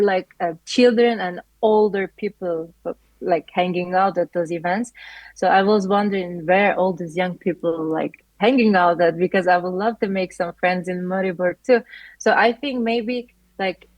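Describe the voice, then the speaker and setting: A woman's voice: lowish voice, a little mellow, no echo, poor audio. A woman in her twenties from Turkey, a native Turkish speaker, earnestly answering interview questions about her life as a student, with many "like" fillers. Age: 20s.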